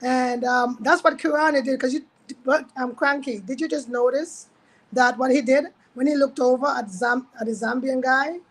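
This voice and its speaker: A woman's voice: high voice, steady tone, normal speed, rough recording. A woman co-commentating on sport in casual chat, with an insistent, bantering way of talking.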